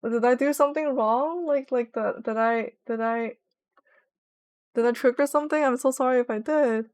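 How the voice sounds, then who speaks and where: A woman's voice: a little high, moderate pace, darkish tone, no echo. Chatty young woman streamer, rambling. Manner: chatty and rambling, with many "like" fillers. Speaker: Twitch streamer. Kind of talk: casual live-stream chat while gaming.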